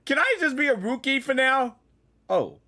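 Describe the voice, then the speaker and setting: A woman gamer giving casual, excitable reaction commentary, often saying "like" and "dude". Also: high-pitched, normal speed. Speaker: gamer reacting to a game on camera.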